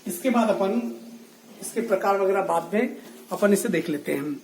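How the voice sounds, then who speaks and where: A teacher giving a classroom lecture who explains slowly, repeats key phrases and speaks directly to the students. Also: mid-range voice, animated, a little mellow. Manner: addresses students directly, scolds inattention. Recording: echoey room, voice from a distance, faint noise.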